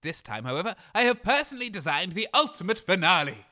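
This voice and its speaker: A man's voice: high-pitched, sing-song, quick pace, pushed and bright, exaggerated voice. A British man playing a conceited, mischievous radio co-host with a theatrical, pompous delivery in a comedy audio drama. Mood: gleefully boastful.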